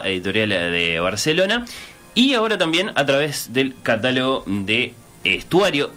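A man's voice: lowish voice, pushed and bright. A man with a Uruguayan accent hosting a radio interview, hesitant with many filler sounds and restarts.

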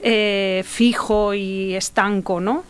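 A woman's voice: mid-range voice, steady tone, takes it slowly, clean tone. A middle-aged woman playwright from Argentina, raised abroad, telling her personal story reflectively in a conversational interview. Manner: personal, reflective, laughs often. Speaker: playwright guest.

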